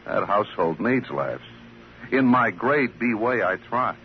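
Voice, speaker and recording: An actor playing a melancholy, wry American small-time comic in an old radio drama, theatrical and self-pitying. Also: male voice, fairly low pitch, expressive, a little slow. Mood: wry, reluctant. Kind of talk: 1950s radio drama acting. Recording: muffled, slightly noisy old radio recording.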